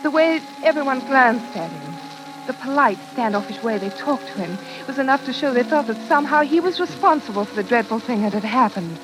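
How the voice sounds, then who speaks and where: A woman's voice: a little high, animated, a little fast, music in the background, close to an everyday voice. An actress playing an earnest, devoted, well-bred young woman in refined, emotive 1940s radio drama style. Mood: troubled, reflective. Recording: noisy, hissing old radio recording.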